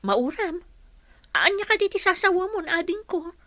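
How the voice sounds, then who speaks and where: A woman's voice: very high voice, very animated, normal speed, bright, forceful tone, no echo, heavily acted. A Filipino woman playing a caring, practical young working woman, an elder sister, in a radio drama. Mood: concerned.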